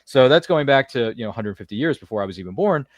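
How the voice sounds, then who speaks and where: Fast-talking jokey man hosting a podcast. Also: mid-range voice, animated, no room sound, muffled recording. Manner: jokey, chummy, rambling. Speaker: podcast host. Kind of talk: casual livestream chat.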